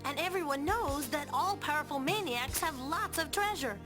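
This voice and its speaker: A boastful, determined little dwarf in a video game. The high voice is brash and confident, with music underneath. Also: female voice, animated, moderate pace. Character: feisty, boastful dwarf artisan, greedy and determined. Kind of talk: video game cutscene acting.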